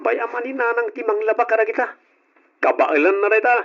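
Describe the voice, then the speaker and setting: A bossy, lecturing young elder brother in an old radio drama, his voice pitched high for a man. He scolds his younger sibling about work. The recording sounds dull and boxy like old broadcast audio. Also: expressive, a little fast, over music.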